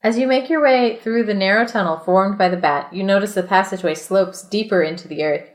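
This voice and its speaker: A woman podcast host reading a storybook aloud in an expressive way, with casual, playful asides. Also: medium pitch, brisk, echoey room. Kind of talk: storybook read-aloud mixed with casual chat.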